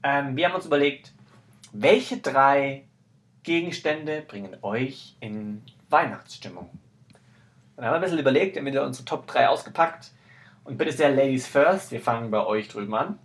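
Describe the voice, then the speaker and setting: A man's voice: medium pitch, moderate pace. A man hosting a podcast in casual chat, talking in an informal, slangy, bantering way. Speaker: podcast host.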